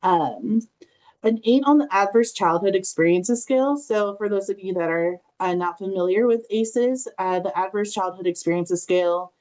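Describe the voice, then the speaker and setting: An American woman presenting an informal online training, speaking in a halting, reflective, conversational way with personal anecdotes. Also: mid-range voice, darkish tone, low-quality recording. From Southern California, United States. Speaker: family engagement specialist presenting a training session.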